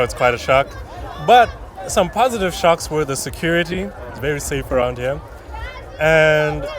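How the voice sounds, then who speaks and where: A man answering a street interview in a thoughtful way. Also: a little high. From South Africa. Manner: thoughtful, measured. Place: busy city street, outdoors. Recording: street crowd behind.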